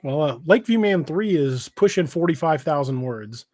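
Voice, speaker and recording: A man casually hosting a livestream, reading out comments and chatting in a halting, hesitant way. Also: on the high side, animated, a little mellow, dry recording, studio quality. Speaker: podcast host and novelist. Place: indoors on an internet livestream video call.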